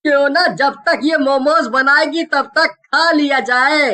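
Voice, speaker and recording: A woman plays a devoted young husband in an animated horror tale. The voice is high, sharp and bright, taking its time. He sounds practical and indulgent, teasing as he reassures. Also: unhurried. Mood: practical, polite. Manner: reassuring, gently chiding. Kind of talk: animated horror story dialogue.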